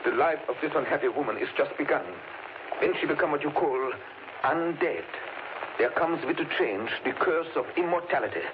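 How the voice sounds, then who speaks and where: An actor playing a grave, erudite older foreign vampire hunter in deliberate, slightly broken English, in the manner of 1930s radio drama. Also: male voice, on the high side, close to an everyday voice. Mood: solemn, urgent. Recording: scratchy, muffled old radio broadcast recording.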